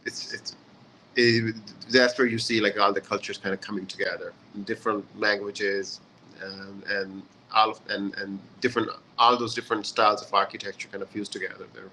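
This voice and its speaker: A man hosting a casual online lesson, relaxed and chatty, with many fillers. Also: fairly low pitch, moderate pace, slight background noise, rough recording. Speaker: session host. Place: hotel in a city abroad.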